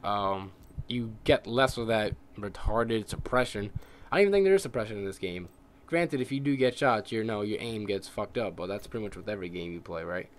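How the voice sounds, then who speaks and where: A man's voice: lowish voice, fairly quick. A young man with a tired, scratchy voice, rambling and swearing in casual commentary over his gameplay. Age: young adult. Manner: rambling, ranting, heavy swearing. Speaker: gamer.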